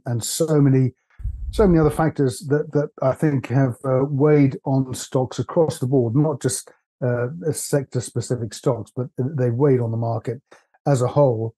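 A man, husky from laryngitis, co-hosting a casual investment podcast in a measured, thoughtful way. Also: medium pitch, dark tone. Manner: measured, thoughtful, with frequent "uh" and restarts. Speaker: podcast co-host, stock analyst.

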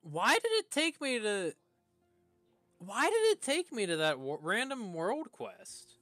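A man's voice: sits high, huge pitch swings, takes it slowly, fairly bright tone, no echo, soft background music. A man giving casual live commentary as he streams a video game.

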